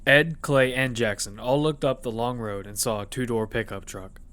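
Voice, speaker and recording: A man's voice: medium pitch, normal speed, fairly bright tone, natural voice. A man narrating an audio fiction survival story in character, as one who tells of a battle. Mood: storytelling.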